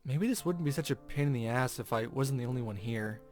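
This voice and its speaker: A man's voice: medium pitch, animated, fairly quick, darkish tone, faint music behind. A man hosting a gaming video, reading a visual novel aloud expressively with informal commentary.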